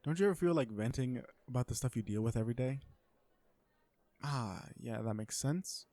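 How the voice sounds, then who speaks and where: Casting: male actor as a sardonic, flirty corporate supervillain for romantic comedy audio roleplay, mid-pitched, conversational and sure of himself. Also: expressive, moderate pace, mellow, no echo, close to an everyday voice. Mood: flirty, relaxed. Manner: conversational venting, sardonic and self-assured. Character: cocky, flirtatious businessman supervillain on a blind date.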